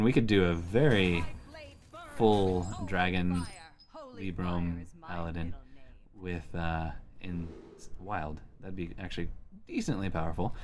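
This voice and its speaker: A man's voice: low voice, a little mellow, slight background noise. A man giving casual gameplay commentary, thinking aloud as he plays. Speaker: host playing a card game.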